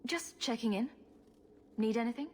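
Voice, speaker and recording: A woman's voice: a little high, moderate pace, lightly acted. An actress playing a sarcastic female rogue champion in a video game, with a dry, teasing manner. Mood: wry. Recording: faint background noise.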